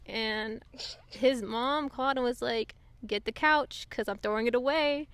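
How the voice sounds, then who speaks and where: A woman's voice: on the high side, measured pace, a bit bright, no room sound. A young woman hosting a casual podcast chat outdoors on a couch, loose and chatty with lots of fillers. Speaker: podcast host.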